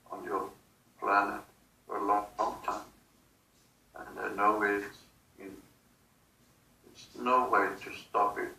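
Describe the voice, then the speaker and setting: Male, trance-channelling subject: halting, very slow, bright gravelly edge, distant roomy recording with faint noise. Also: on the low side. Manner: halting, broken phrases with long gaps. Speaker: hypnosis subject channelling otherworldly beings. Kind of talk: slow, halting channelled monologue in a guided session.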